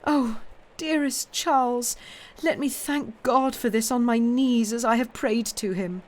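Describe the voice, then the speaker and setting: A woman voicing a devout, devoted young wife in a novel reading, fervent and drawn-out. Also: fairly high pitch, voice pushed up, close to an everyday voice. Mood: fervent relief. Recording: clearly noisy live stream recording.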